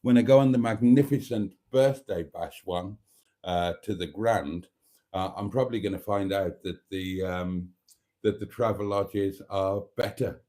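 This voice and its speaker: A man's voice: low-pitched, mellow. A British man in his fifties chatting casually on a live stream, rambling with frequent ums and calling his viewers darlings. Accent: British English. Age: 50s. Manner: chatty and self-deprecating, calls viewers darlings. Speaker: vlogger host.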